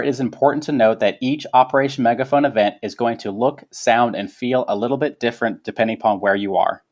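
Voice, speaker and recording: Man presenting formally, dull lo-fi audio. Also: mid-range voice. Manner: formal, reading from a script. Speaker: webinar presenter. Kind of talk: formal scripted presentation narration.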